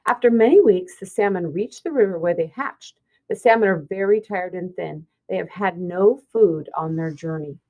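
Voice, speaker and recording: A woman's voice: fairly low pitch, normal speed, darkish tone, muffled recording. A woman teacher reading a children's science book aloud, explaining and pointing things out simply.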